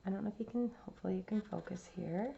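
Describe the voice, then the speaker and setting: A woman hosting a cross-stitch vlog, her voice raspy from laryngitis, chatting casually with many fillers and restarts. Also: medium pitch, rapid-fire, warm tone, highs cut off. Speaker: cross-stitch channel host.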